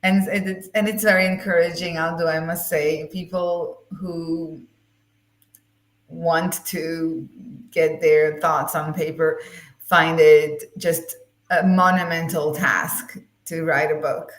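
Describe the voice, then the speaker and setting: A woman hosting a conversational interview, pausing often as she forms long, hesitant questions. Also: sits low, flat delivery. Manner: hesitant, pauses while forming long questions. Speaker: live stream host, interviewer. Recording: roomy, echoey sound.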